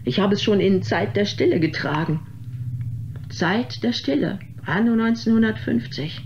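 An actress playing a vain, theatrical aging film star who complains haughtily and melodramatically in a radio play. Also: female voice, mid-range voice, normal speed, darkish tone, lightly acted. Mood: indignant. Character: vain, theatrical, aging former film diva, easily outraged. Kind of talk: German radio drama acting. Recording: dull, noisy sound with ambience.

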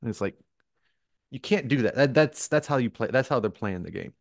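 A man's voice: mid-range voice, lively intonation, brisk, gravelly, no echo, clean studio sound. An American man co-hosting a podcast, talking casually and giving his opinions with exasperated emphasis. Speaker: podcast co-host.

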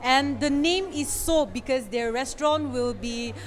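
A woman's voice: sits high, a little slow, slight background noise. A young woman TV reporter interviewing a man in a restaurant, speaking conversationally with many fillers. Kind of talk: field news interview. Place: indoor restaurant. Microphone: handheld mic.

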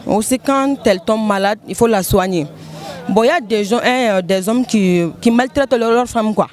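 A woman's voice: mid-range voice, normal speed, a little mellow. A woman with a Malian accent, earnestly explaining her view to a street interviewer, with filler words. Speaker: passer-by. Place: shop front outdoors. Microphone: handheld mic.

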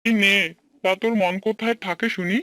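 A man's voice: sits high, fairly even intonation, fairly quick, sharp tone, clear, resonant tone, no echo. A man voicing a friendly, familiar, brotherly village tea-shop owner in a cartoon. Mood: familiar, caring. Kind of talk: Bengali cartoon dialogue dubbing.

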